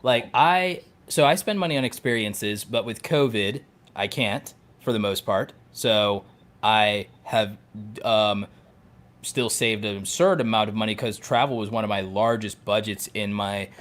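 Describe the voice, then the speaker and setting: A man's voice: fairly low pitch, unhurried, fairly bright tone. Jokey man chatting on a podcast. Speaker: podcast co-host. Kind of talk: casual podcast chat.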